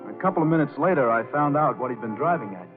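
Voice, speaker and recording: A hardboiled roadhouse pianist played by a man, terse and self-assured in vintage radio drama style. His voice is mid-pitched on a tinny old recording, with clear music behind. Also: animated, fast, natural voice. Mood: narrating, intrigued. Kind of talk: 1940s radio acting, first-person crime narration.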